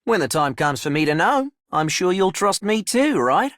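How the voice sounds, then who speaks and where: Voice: mid-range voice, big pitch swings, normal speed, fairly bright tone, no room sound, clean studio sound. An actor voicing a shrewd, teasing but trusting young operator for a video game, with a playful, knowing manner. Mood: teasing, sincere.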